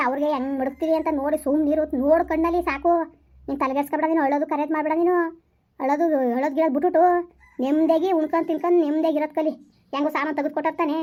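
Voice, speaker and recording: A woman's voice: high voice, a little mellow, close to an everyday voice. A woman voicing a scheming rural mother who scolds and reassures her daughter while plotting revenge. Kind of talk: village family drama acting.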